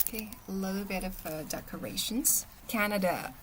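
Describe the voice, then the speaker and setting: A woman's voice: mid-range voice, expressive, moderate pace, noisy background. A young woman from Shanghai whose first language is Chinese, hosting a casual livestream, chatting and answering viewer comments. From Shanghai, China. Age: young adult. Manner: chatty, reads and answers viewer comments, often asks "okay?". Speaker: livestream host. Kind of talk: casual live-stream chat with viewers.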